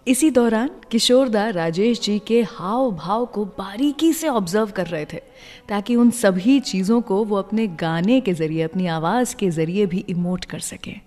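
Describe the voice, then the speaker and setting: A woman's voice: medium pitch, expressive, measured pace, a little mellow, in a large hall. A woman hosting a radio show, telling scripted stories conversationally and putting questions to listeners. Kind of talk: scripted radio storytelling between songs. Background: music.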